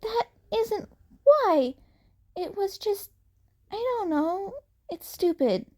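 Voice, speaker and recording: Teenage boy hero, acted by a female reader: high, animated, nervous and kind-hearted, hesitant audio story delivery. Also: takes it slowly, heavily acted. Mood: awkward, hesitant. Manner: hesitant, earnest.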